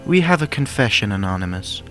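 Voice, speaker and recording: A man's voice: on the low side, big pitch swings, brisk. A man voicing a regal, earnest pony princess in a fan story reading, with awkward, archaic, formal speech. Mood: hesitant, apologetic. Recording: music behind the voice.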